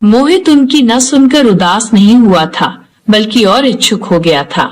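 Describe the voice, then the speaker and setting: A woman's voice: on the high side, expressive, unhurried. A woman narrator reading a scripted horror story in a storytelling style.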